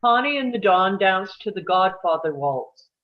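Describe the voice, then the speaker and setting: A woman's voice: medium pitch, lively intonation, moderate pace, muffled recording. A woman reading stage directions as scripted narration at a table read.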